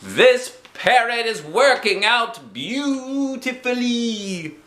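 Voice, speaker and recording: Storybook little girl, acted by a man: high, bright, stubborn and dramatic, pleading or boasting, roomy sound. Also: sing-song, very slow, heavily acted. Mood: delighted. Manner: exclamatory, repeats herself, dramatic.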